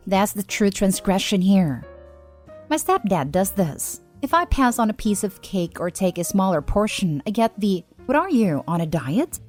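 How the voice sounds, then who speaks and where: A woman narrator reading online posts and comments aloud in a steady, even delivery. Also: lowish voice. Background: faint background music.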